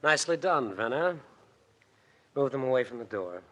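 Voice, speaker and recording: An actor playing a smooth gang boss who threatens with clipped, icy politeness in a 1940s radio crime drama. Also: male voice, medium pitch, lively intonation, normal speed, fairly bright tone, lightly acted. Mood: cool menace. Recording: noisy old radio recording with clicks.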